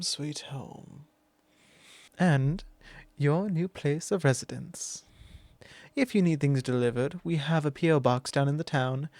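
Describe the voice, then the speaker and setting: A man voicing a possessive, commanding male vampire lord in an ASMR roleplay, speaking slow, low and intimate, often in a whisper. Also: vocal fry, a little mellow, no room sound.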